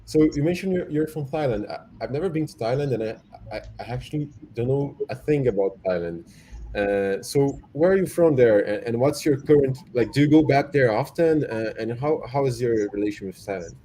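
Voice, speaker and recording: A man hosting a casual interview, speaking haltingly and repeating words as he searches for them. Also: medium pitch, animated, mellow. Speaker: host. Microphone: video call. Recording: faint background noise.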